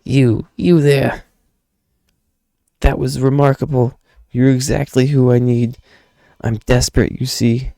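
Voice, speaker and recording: A man's voice: medium pitch, level tone, slow pace, gravelly, warm tone, no echo, studio quality, pitched above the performer's own voice. A man voicing a desperate prospector father who begs for help in an urgent, imploring way. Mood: desperate pleading.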